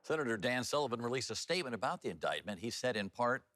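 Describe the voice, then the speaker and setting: A man's voice: medium pitch, animated, quick pace, fairly bright tone, no room sound. A man with an American accent anchoring TV news, with an informal, folksy way of talking. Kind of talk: scripted news reading mixed with casual banter.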